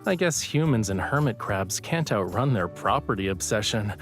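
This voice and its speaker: Romantic game lead: playful young man, very animated intonation, affectionate and a little smug, music underneath. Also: on the low side, moderate pace. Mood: calm, teasing. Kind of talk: romantic game audio drama acting.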